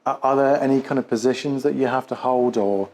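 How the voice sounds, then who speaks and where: A man's voice: medium pitch, a little fast, a little mellow. A man working as an osteopath and instructor, questioning and explaining in a hesitant way, often repeating and rephrasing his words. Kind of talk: case history questioning and explanatory teaching.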